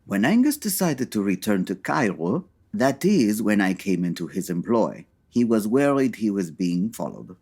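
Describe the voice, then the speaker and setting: A husky Frenchman with a low voice and French accent. He is a formal, guarded bodyguard in a noir radio play. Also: big pitch swings, a little mellow, exaggerated voice. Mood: formal, explanatory. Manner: formal, measured.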